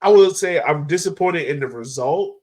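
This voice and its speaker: Male podcast host, higher register, informal and chatty, casual game breakdown. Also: animated, moderate pace, a little mellow. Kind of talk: casual match analysis chat.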